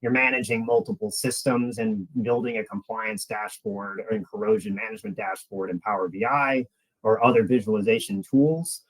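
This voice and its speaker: A man's voice: on the low side, steady tone, normal speed, poor audio. A man giving a webinar presentation as a product presenter, explaining in a conversational way and addressing the audience directly.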